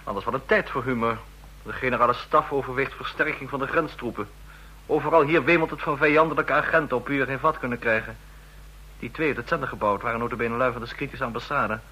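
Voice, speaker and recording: Sharp, touchy young intelligence officer. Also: male voice, mid-range voice, fast, husky, rough recording. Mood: stiff, needling. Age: young adult. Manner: earnest, humourless, stiff, insistent. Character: ambitious, touchy young male code officer. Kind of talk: Dutch radio drama dialogue.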